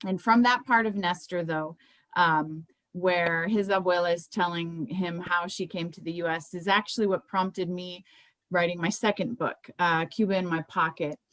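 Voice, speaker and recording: A woman's voice: lowish voice, steady tone, moderate pace, a bit bright, dry recording, poor audio. Conversational American woman, dry close sound. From Miami, United States. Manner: conversational, informal. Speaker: children's book author presenting her books. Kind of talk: informal book presentation.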